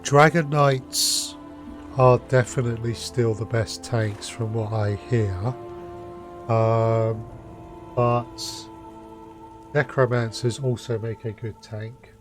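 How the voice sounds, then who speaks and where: A man giving casual, informal commentary as he streams a game, chatty and unhurried. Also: medium pitch, steady tone. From United Kingdom. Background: faint game music.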